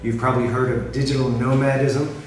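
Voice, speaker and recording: A man's voice: mid-range voice, little pitch movement, slight background noise. American man in a very echoey room. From California, USA. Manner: measured, rephrasing as he goes. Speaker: freelancer presenting himself. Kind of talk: casual talk to camera. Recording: very echoey room, mic at a distance, music behind.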